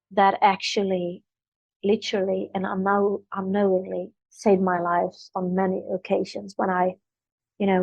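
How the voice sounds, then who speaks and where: A woman's voice: medium pitch, creaky, darkish tone, no echo, rough recording. A middle-aged woman whose first language is Swedish, from Sweden, speaking earnestly in English about spiritual growth in a podcast interview. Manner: earnest, flowing spiritual talk. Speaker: author and spiritual coach.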